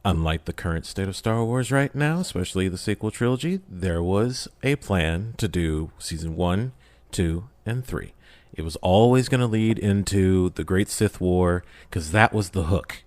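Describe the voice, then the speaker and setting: A man's voice: low-pitched, lively intonation, normal speed, darkish tone, no room sound. A man chatting casually as a podcast guest, with a jokey, self-deprecating manner. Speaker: podcast guest, audio drama creator. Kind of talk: animated casual podcast chat.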